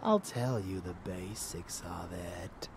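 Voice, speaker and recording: A man's voice: sits low, slow, background noise. A man playing a murderous, doting vampire lover in an intimate ASMR audio roleplay, drawling and often dropping into whispers. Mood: sinister, coaxing. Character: rebellious murderous male vampire lover, doting yet bloodthirsty.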